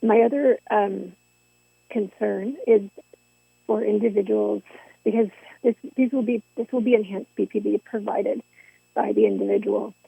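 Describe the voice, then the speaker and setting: A hoarse woman with laryngitis, a school trustee asking polite, hesitant questions at a meeting. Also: medium pitch, fairly even intonation, moderate pace, dry recording. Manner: hesitant, polite, many ums. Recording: tinny phone-line audio.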